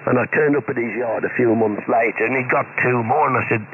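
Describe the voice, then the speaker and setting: A man's voice: medium pitch, fast. A British man, middle-aged or older, chatting casually and anecdotally as an amateur radio operator over shortwave. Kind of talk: casual rambling radio chat. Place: two-way radio link heard on receiver. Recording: noisy narrowband shortwave ham radio.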